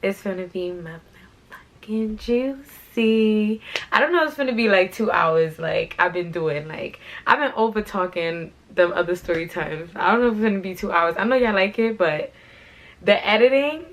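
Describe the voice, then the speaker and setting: A young woman hosting her channel, telling a casual story time in a chatty, slangy way while doing her hair, her voice a little raspy from being sick. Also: mid-range voice, lively intonation, moderate pace, a bit bright. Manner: chatty, slangy, repeats "like" and "you know what I'm saying". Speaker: fashion channel host.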